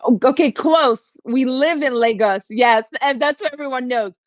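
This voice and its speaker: A woman's voice: high voice, moderate pace, no room sound, muffled recording. A woman from Nigeria, a physician, speaking earnestly and reflectively as a podcast guest. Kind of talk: podcast interview.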